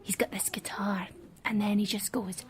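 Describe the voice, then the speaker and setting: A woman playing an excitable young Scottish girl who is mad on pop music, in naturalistic drama dialogue. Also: medium pitch, moderate pace, lightly acted. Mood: eager, confiding.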